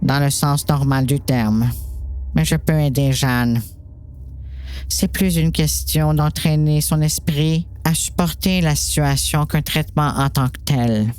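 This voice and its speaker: A woman playing a calm, patient doctor in an amateur audio drama, speaking in a measured, reassuring way. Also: sits low, smooth. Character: calm, patient doctor coaching a troubled girl.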